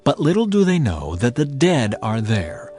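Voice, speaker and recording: A man narrating dramatized scripture in a solemn, deliberate storytelling manner. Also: medium pitch, wildly swooping pitch, darkish tone. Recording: music behind the voice. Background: music.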